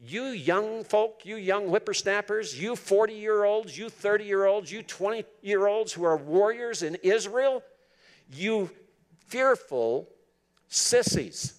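A man's voice: expressive, slow pace. An older man voicing a bold eighty-five-year-old warrior who taunts fearful young men. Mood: defiant. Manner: taunting, emphatic, higher-pitched.

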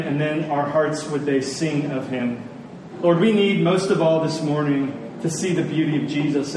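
A man preaching a sermon as a pastor, conversational and earnest, with rhetorical questions and emphatic pauses. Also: a little high, darkish tone. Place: large room, preaching to a congregation. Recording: echoey room, distant mic, faint noise.

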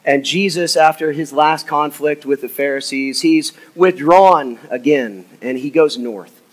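A man, an assistant pastor, preaching a sermon in his church, his voice a little hoarse from a cold. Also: on the high side, measured pace. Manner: earnest, drops to a hushed, soft voice in prayer. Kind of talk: sermon and prayer. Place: church sanctuary.

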